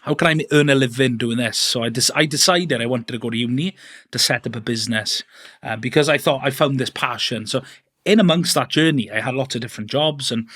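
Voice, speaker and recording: A man's voice: medium pitch, brisk, a bit bright. A man in his thirties, a podcast guest telling his life story in a chatty, rambling way with lots of fillers and restarts. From Wales. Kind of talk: casual interview storytelling.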